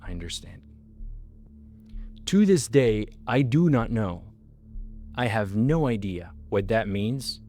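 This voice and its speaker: A man's voice: fairly low pitch, lively intonation, takes it slowly, pauses often, warm tone, soft background music. A man giving an earnest personal testimony in a monologue, repeating phrases and drawing out key words for emphasis. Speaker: storyteller recounting his life.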